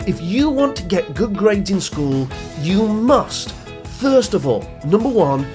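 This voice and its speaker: A man hosting a motivational advice talk for teenagers, speaking in an emphatic, deliberate teaching manner that stresses key phrases. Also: sits high, big pitch swings, music in the background. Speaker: podcast host and youth coach.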